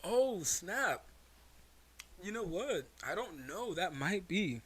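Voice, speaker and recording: A man's voice: sits high, sing-song, slow, fairly bright tone, breathy edge. A man chatting casually on a comedy podcast in slangy bro-talk, often repeating his phrases. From United States.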